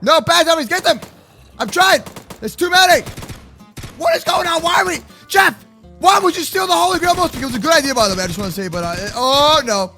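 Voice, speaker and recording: A man hosting an excited, improvised Minecraft roleplay for kids, exclaiming and repeating himself in slangy chatter. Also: extremely high pitch, brisk, soft background music. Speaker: host of a gaming roleplay video.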